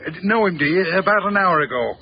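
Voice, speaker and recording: An actor playing a gruff, cheeky working-class Yorkshireman, blunt and slangy, in a naturalistic radio drama. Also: high-pitched, animated, fast talker. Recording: dull radio recording with some background noise.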